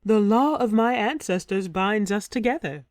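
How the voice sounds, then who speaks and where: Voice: mid-range voice, moderate pace, dry recording, lightly acted. A narrator voicing a passionate ancient warrior queen, pleading and theatrical, in a storybook reading. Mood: eager. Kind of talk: audiobook character voice.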